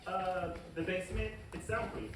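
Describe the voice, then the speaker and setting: A man playing a gleefully wicked, power-hungry scientist in a comedic animated show table read. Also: sits high, moderate pace. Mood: gleefully mocking. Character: gleefully wicked male scientist craving power, secretly scheming. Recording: very echoey room, distant mic, hissy noise.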